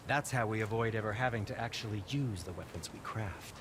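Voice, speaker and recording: A man's voice: on the low side, a little fast, very noisy, lightly acted. An actor playing a fussy, nervous dwarf craftsman in a video game, with a hesitant, jittery way of talking. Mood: sheepish. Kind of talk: video game dialogue acting.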